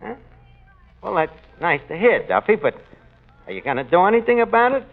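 A man playing a brash, loudmouthed tavern manager in a 1940s radio comedy, quick to blow up. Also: high voice, big pitch swings. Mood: sentimental. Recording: tinny old radio broadcast.

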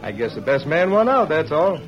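An actor playing a smooth-talking, falsely friendly office boss in an old radio western, breezy and ingratiating. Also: male voice, a little high, very animated, a little fast, a crowd in the background. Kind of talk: 1940s-50s radio western acting. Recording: dull old radio recording over music. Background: dance music.

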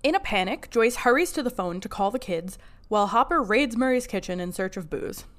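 A woman podcast co-host in easy, relaxed chat, now and then reading a recap in narration. Her voice sits at a middle pitch for a woman. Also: brisk.

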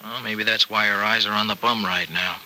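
A man's voice: on the low side, fairly even intonation, a little fast, pushed and bright, low-quality recording. An actor in an old-time radio soap opera playing a folksy, generous American farmer who hesitates earnestly as he worries over an injured friend. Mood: reassuring. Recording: vintage radio drama recording.

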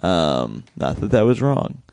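A man's voice: sits low, big pitch swings, takes it slowly, creaky, darkish tone, a little hiss or hum. A young man hosting a podcast in casual chat, joking, laughing often and rambling. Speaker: podcast host.

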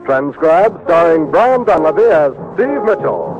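A male radio announcer in the 1950s manner. His fairly high voice swings widely with theatrical, polished showmanship. The broadcast sounds muffled and old. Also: very animated, measured pace, music in the background. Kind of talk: 1950s radio announcing, dramatic scripted promotion.

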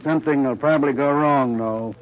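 An actor playing a glum, folksy, pessimistic associate in an old-time radio mystery. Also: male voice, medium pitch, brisk, lo-fi sound, natural voice.

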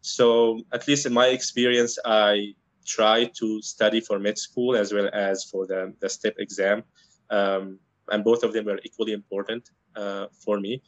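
A man from Syria whose first language is Arabic, speaking in a fairly low voice. He answers carefully, with many fillers and restarts as he thinks. The sound is dry and a little dull, lo-fi. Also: level tone, no room sound. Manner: measured, thoughtful, many ums and restarts. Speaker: guest, internal medicine resident. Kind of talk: interview answers.

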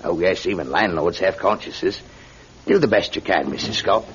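An actor playing a blunt, eager working-class British landlord with a betting habit in a classic radio drama, speaking colloquially. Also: male voice, low-pitched, normal speed, fairly bright tone. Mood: coaxing, affable. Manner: colloquial, uses "me" for "my". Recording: muffled radio recording with clear noise.

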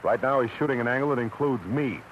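A man's voice: medium pitch, fast, a little hiss or hum, close to an everyday voice. A man playing a tough, world-weary private eye in a vintage radio detective drama, with a slangy, clipped, hard-boiled delivery. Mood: firm, serious. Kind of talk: 1940s-50s radio detective drama acting with first-person narration. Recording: dull vintage radio broadcast, loud music.

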